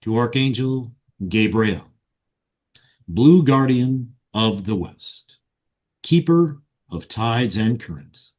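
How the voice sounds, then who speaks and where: A man leading a guided meditation and ceremonial invocation in solemn, deliberate short phrases. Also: mid-range voice, animated, a little mellow. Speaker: meditation guide and ritual leader.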